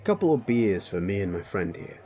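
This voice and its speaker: A man's voice: lowish voice, big pitch swings, a little fast, mellow. A man playing a cocky, sleazy werewolf drinking buddy in an audio roleplay, swearing, teasing and rambling at the listener. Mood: gruff, boastful.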